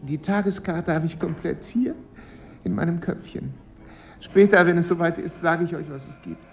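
A talkative waiter in a radio play, with a fairly high voice. He is breezy and cheeky, with small flourishes for his guests. Soft music plays behind him. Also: moderate pace, vocal fry. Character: chatty, cheeky male waiter, familiar with guests. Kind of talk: radio drama dialogue.

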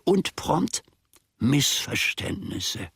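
An older male actor playing a grumbling, self-important old servant in a German radio play, musing deliberately to himself with a gravelly, husky voice, often sinking to a murmur. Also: fairly high pitch, sing-song, fairly bright tone, no echo, heavily acted. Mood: indignant, sly.

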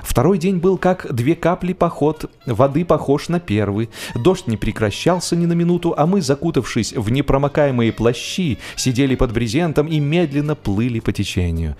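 A man narrating a humorous novel expressively, with a theatrical, deliberate delivery that draws out phrases for drama. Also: mid-range voice, animated, a little mellow, soft background music. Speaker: audiobook narrator.

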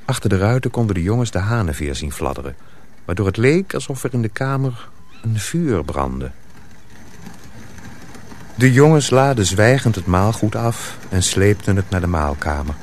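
A male narrator tells the story in a radio play. His voice sits a little low. The delivery is scripted narration between dramatic scenes. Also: darkish tone, a little hiss or hum.

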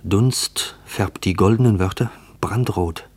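Uneasy observer, very low voice. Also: male voice, a little mellow, breathy edge, heavily acted. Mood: watchful. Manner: introspective, questioning, deliberate. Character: uneasy, watchful male narrator shadowing a stranger. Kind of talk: German radio play monologue.

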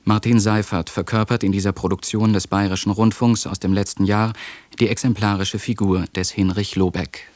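A man's voice: lowish voice, flat delivery, normal speed, a little mellow, dry recording. A man presenting a radio programme with a scripted introduction.

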